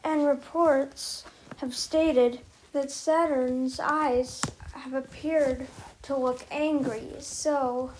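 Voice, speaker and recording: A woman reading a scripted mock emergency broadcast as its announcer, in a halting, amateur way with pauses mid-sentence. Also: high voice, noisy background. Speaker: emergency broadcast announcer in a hobby scenario video.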